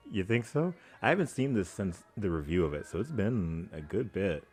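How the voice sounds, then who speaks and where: A man's voice: low-pitched, very animated, normal speed, a little mellow, slightly rough, soft background music. A man hosting a reaction video in informal, rambling, playfully exasperated chat to the camera.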